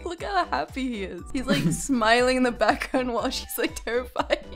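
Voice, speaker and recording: Brief: woman, young adult energy, high bright voice, sarcastic, swears, laughs often. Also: expressive, moderate pace, soft background music. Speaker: co-host reading posts. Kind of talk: casual chat reacting to posts.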